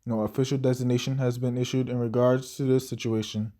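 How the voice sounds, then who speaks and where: A man's voice: medium pitch, little pitch movement, fairly quick, warm tone, natural voice. A man playing a local TV news anchor in an audio drama, with a formal announcer delivery. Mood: composed. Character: local TV news anchor, male, composed then shaken.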